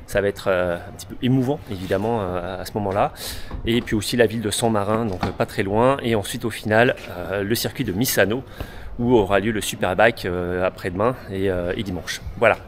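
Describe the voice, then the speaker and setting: A man's voice: on the low side, a little fast, a little hiss or hum. A man from France narrating a casual travel vlog, chatty and enthusiastic, with frequent fillers. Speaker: travel vlogger. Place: outdoors on a motorcycle trip through villages. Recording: background music.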